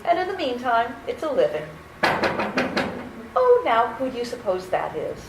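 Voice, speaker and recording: A woman's voice: a little high, wildly swooping pitch, normal speed, gravelly, full character voice. A woman playing a sweet, daffy elderly aunt in a radio comedy, genteel, fussy and chirpy. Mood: genteel, chatty. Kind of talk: old-time radio comedy acting. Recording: roomy stage recording with faint noise.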